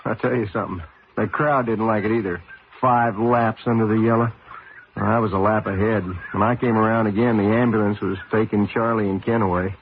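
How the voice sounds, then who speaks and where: Young male racer, played by an actor: cocky, good-natured, lowish voice, conversational radio drama acting, narrow old-broadcast sound. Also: steady tone, fairly quick, slight background noise, lightly acted. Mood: wry, exasperated. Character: cocky but good-natured race driver, young husband and father.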